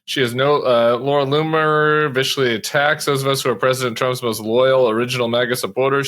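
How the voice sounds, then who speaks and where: A man's voice: mid-range voice, a bit bright. A man on a panel trading casual banter in a playful, teasing way.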